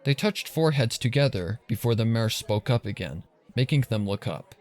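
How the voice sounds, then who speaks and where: A man's voice: mid-range voice, lively intonation, normal speed, a little mellow. A man reading scripted dramatic story narration for a fan fiction.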